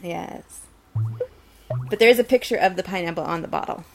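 Woman chatting in a creaky voice. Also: fairly low pitch, fairly quick, slight background noise. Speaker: podcast co-host. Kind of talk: casual TV recap banter.

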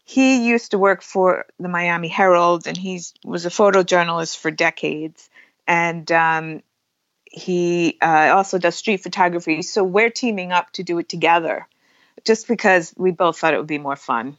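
Lowish-voiced woman, dry and slightly dull recording. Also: normal speed, no room sound. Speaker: photographer and workshop teacher, interview guest. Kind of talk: casual interview chat.

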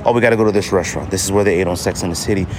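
Middle-aged American male podcaster, lowish voice, earnest and conversational, casual solo talk with clear background noise. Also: rapid-fire, a little mellow. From Inglewood, California, United States. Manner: earnest, conversational, repeats phrases for emphasis. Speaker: podcast host.

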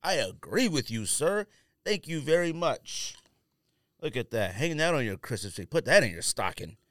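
Bright-voiced man bantering casually. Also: medium pitch, sing-song, normal speed, dry recording, studio quality. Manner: slangy, joking, rambling. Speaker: podcast co-host. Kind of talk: casual banter on a sneaker podcast.

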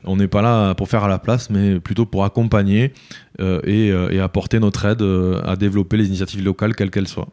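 Smooth, mellow, lowish-voiced man being interviewed. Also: level tone, a little fast. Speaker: interview guest, village mayor. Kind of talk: conversational interview answers.

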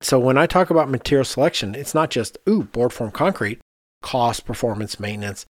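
A man, an architect hosting a podcast, chatting casually and telling animated anecdotes in which he quotes other people. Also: medium pitch, a little fast, no echo, clean studio sound.

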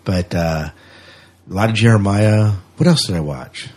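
Guy podcast host, kinda husky low voice, chatty and off the cuff, says stuff twice. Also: normal speed. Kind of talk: casual unscripted podcast chat.

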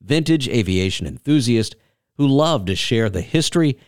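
Lively-pitched male announcer, dry recording. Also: moderate pace, no echo. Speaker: sponsor announcer. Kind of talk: scripted promotional narration.

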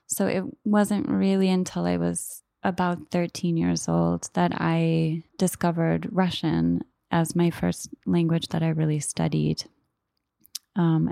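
An American woman in her thirties telling personal stories in a measured, thoughtful way, often pausing mid-phrase. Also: on the low side, creaky, dark tone, no echo, studio quality. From Oklahoma, United States. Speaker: author and literary translator. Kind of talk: reflective personal storytelling.

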